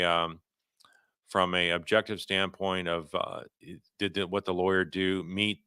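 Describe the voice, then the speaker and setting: A man hosting a livestream, giving unscripted legal commentary and answering viewer questions in a halting, conversational way, often rephrasing himself. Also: low-pitched, sharp tone, clear, resonant tone, no echo, clean studio sound.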